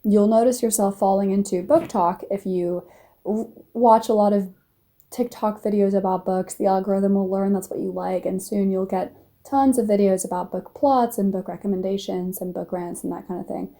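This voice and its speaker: A woman explaining something in a relaxed, conversational way. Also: mid-range voice, normal speed. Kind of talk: casual explainer voice-over.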